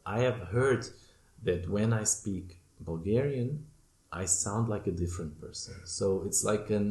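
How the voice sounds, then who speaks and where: A middle-aged man, a guest answering interview questions in a reflective, measured way with pauses and fillers. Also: lowish voice, gravelly, warm tone, echoey room. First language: Portuguese. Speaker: podcast guest, former German teacher.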